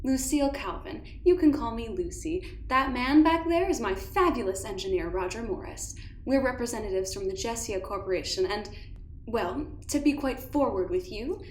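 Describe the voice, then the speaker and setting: A woman's voice: mid-range voice, lively intonation, normal speed, slight background noise, close to an everyday voice. Woman doing a smug gushy corporate lady for audio drama, arch n fake polite, roomy echo. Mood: smoothly condescending. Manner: gushing, arch, falsely polite. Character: breezy, smug corporate weapons developer woman. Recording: roomy, echoing sound.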